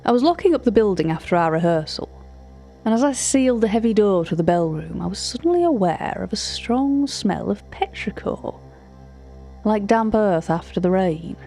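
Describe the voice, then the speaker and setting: A woman's voice: medium pitch, mellow, close to an everyday voice. A woman playing a cultured, wry narrator in an audio drama, with a formal, precise, drily opinionated way of speaking. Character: cultured, wry art-catalogue narrator recounting uncanny encounters. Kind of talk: scripted narration of an audio fiction.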